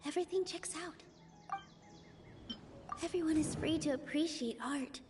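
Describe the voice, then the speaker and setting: An actress voicing a gentle, encouraging young woman performer in a video game, speaking in an earnest, soothing way. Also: sits high, measured pace, music in the background.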